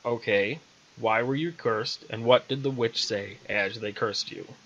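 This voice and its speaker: A man's voice: mid-range voice, measured pace, a bit bright, lo-fi sound. A man voicing a talking raven, a witch's dry and formal business associate, in a read-aloud story. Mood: businesslike.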